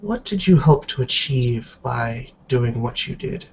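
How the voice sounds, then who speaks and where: A man voicing a stern, composed elderly matriarch in a tabletop roleplay, speaking in a calm, formal, measured way. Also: medium pitch. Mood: cool interrogation. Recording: dull, noisy online call audio.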